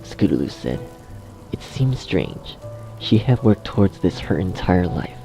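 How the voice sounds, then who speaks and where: A man's voice: low-pitched, sing-song, measured pace, vocal fry, mellow, poor audio. A man reading scripted horror story narration as the narrator. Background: music.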